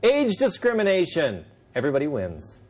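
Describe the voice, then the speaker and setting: A male late-night host speaking through a lapel mic in a TV studio. His voice sits high and swoops around a lot, with a husky edge. He reads his opening with dry sarcasm. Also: wildly swooping pitch, normal speed, a little mellow. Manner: wry, sarcastic delivery. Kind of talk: scripted monologue then panel banter.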